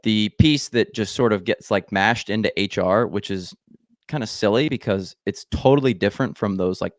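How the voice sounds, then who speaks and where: A man hosting a podcast interview, chatting in a relaxed, joking way and saying like a lot, into a big studio mic with headphones on. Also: fairly low pitch, moderate pace, no echo. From United States. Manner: joking, relaxed, heavy use of like. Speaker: podcast host. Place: indoor home studio. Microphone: headphones and large studio mic.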